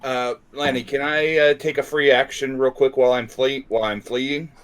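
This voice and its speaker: A man's voice: mid-range voice, steady tone, normal speed, clear, resonant tone. A man talking casually as a player in a tabletop game.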